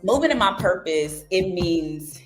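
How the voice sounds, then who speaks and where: A woman's voice: on the low side, expressive, measured pace, soft background music. A young woman guest on a casual podcast, talking animatedly and acting out her own self-talk. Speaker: guest journalist.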